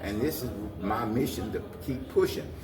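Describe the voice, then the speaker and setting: A man's voice: mid-range voice, moderate pace, crowd noise. A man giving earnest, rambling interview answers, often repeating his phrases. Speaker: guest, community organization president. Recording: echoey, distant mic, noisy room.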